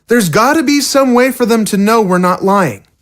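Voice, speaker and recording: A casual, frank undead boy, played by a man in an audio drama. His voice is very high for a male, with an offhand, youthful delivery. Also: normal speed, fairly bright tone. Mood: uncertain, casual.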